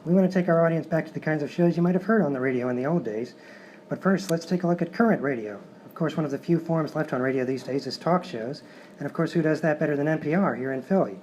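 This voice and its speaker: A man plays an eager radio comedy presenter. He talks fast in an earnest, insistent, explanatory way, with faint noise behind him. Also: on the high side, darkish tone, highs cut off, lightly acted. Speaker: show host. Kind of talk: live radio sketch comedy.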